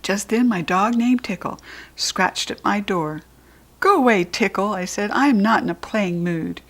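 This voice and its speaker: An American woman reads a children's chapter book aloud in a voice of ordinary pitch for a woman. She leaps up into an excited, high-pitched voice for the child's quoted lines. She sinks to soft, breathy murmurs for the quiet asides. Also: measured pace. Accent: General American.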